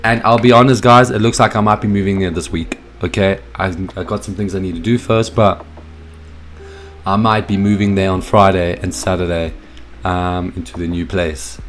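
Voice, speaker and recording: A young man with a hoarse, froggy voice from a cold, hosting a casual live stream from his home office, hyped up and greeting viewers in the chat by name. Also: deep voice, fairly even intonation, moderate pace, slight background noise. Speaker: streaming host.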